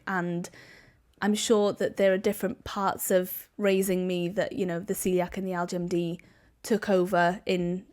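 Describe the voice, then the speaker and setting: A woman's voice: medium pitch, a little mellow. A young Welsh woman, a Welsh speaker, hosting a casual podcast interview at her family home in a chatty manner. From Wales. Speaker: podcast host.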